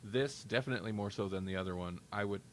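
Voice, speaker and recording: A man hosting a podcast, giving a casual review in a halting, thinking-aloud way. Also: on the low side, slight background noise. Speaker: podcast host.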